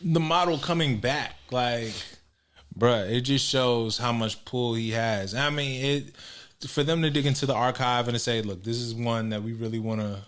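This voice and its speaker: A laid-back man from Maryland chats as a podcast co-host. His pitch is mid-range, and he often drops into low, mumbled asides. His tone is casual and unhurried. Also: fairly bright tone. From Maryland, United States. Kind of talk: casual chat.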